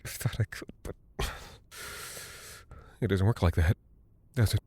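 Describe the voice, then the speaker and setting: A man acting a guilt-ridden, delusional father and space pilot in a horror audio drama, halting and stammering as he rambles. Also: low-pitched, mellow, husky. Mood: drained, confessional.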